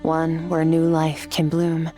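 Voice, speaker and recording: A woman voicing an ancient, calm swordswoman who talks in a measured, solemn, philosophical way, in a video game. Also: low-pitched, soft background music. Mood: gentle.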